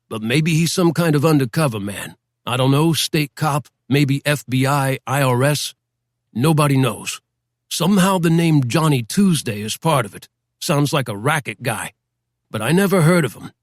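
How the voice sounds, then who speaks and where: A man's voice: mid-range voice, no room sound, studio quality, natural voice. A man playing a worried small-time gangster. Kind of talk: audiobook character voice.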